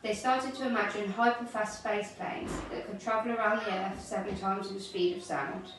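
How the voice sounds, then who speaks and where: A woman's voice: medium pitch, fairly even intonation, normal speed. Very echoey woman reading a storybook. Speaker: school staff member reading aloud. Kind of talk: storybook read-aloud. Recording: very echoey, distant mic, faint noise.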